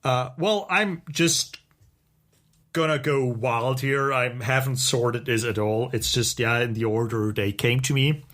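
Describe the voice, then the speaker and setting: Guy on a podcast just chatting about stuff he likes, kinda rambly and halting, mid pitch. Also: fairly bright tone. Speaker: podcast co-host. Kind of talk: casual review chat.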